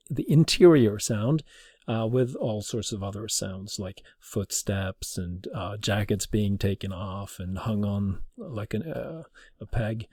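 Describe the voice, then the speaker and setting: A man giving an informal tutorial as a teacher, explaining in a halting way. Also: fairly low pitch, vocal fry, mellow, no room sound, clean studio sound. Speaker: teacher demonstrating audio production.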